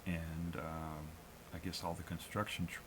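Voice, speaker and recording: A low-pitched American man talks off the cuff to a livestream. He is hesitant and halting, feeling his way through each thought. The audio has clear background noise. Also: little pitch movement. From New England, US. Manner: hesitant, halting, self-critical. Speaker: amateur live streamer. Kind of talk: casual unscripted solo livestream chat.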